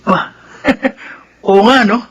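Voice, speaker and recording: A man's voice: high-pitched, very slow, a bit bright, breathy edge, heavily acted. A young man playing an easygoing, understanding grown son who grumbles lightly, in a Filipino radio drama. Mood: reassuring. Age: young adult.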